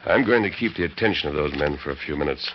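An actor playing a calm, resolute, commanding masked cowboy hero in an old-time radio western. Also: male voice, very deep voice, fast talker. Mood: calm, urgent. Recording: muffled, noisy old radio recording.

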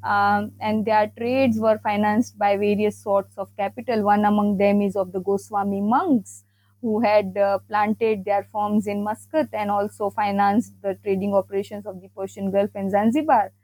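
A woman with a mid-range voice presents scholarly material. She speaks in a measured way, pausing on frequent fillers between phrases. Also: medium pitch, flat delivery, a little mellow, rough recording. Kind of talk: academic presentation.

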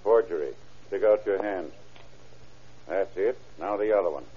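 An American man acting a tough older deputy near retirement in a 1930s radio drama, gruff, slangy and commanding. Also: sits low, moderate pace, lightly acted. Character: tough, unflappable veteran deputy nearing retirement. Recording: dull, very noisy old radio recording.

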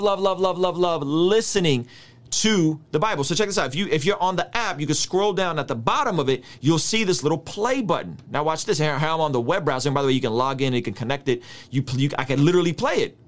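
A man in his thirties, a native Russian speaker, giving an energetic, slangy Bible study lesson. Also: fairly high pitch. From United States. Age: 30s. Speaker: Bible teacher. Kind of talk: casual video teaching.

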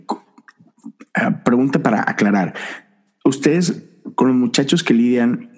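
Husky-voiced man hosting a chat. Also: mid-range voice, darkish tone. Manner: friendly questioner with many affirming murmurs. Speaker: interview host. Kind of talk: casual chat.

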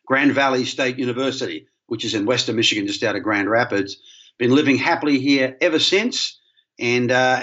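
A man's voice: mid-range voice, a bit bright. Australian man, slightly dull recording. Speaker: interview guest, history professor. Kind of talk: conversational explanation.

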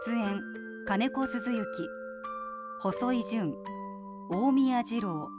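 A woman's voice: fairly high pitch, drawn-out words. A woman reading scripted programme credits as an announcer. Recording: loud mallet-percussion music behind voice.

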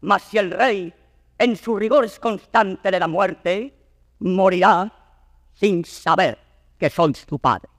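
A man's voice: high voice, slow, studio quality. Anguished old nobleman, declaiming in reverb. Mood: torn, grieving. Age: older. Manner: grave, declamatory, exclamatory. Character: anguished old nobleman torn between honour and fatherly love. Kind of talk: classical Spanish verse drama, declaimed.

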